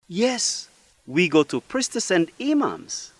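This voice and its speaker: A man's voice: sits high, huge pitch swings, slow pace, breathy edge, no room sound, exaggerated voice. An actor playing a polite, reserved young man in an educational radio drama. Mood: agreeing.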